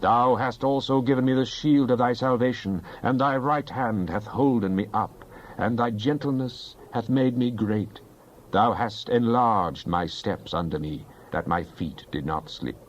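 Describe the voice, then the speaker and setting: A man's voice: mid-range voice, measured pace. Solemn man reading scripture, slightly dull audio. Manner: solemn, declamatory delivery. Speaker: scripture reader. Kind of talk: dramatized Bible reading.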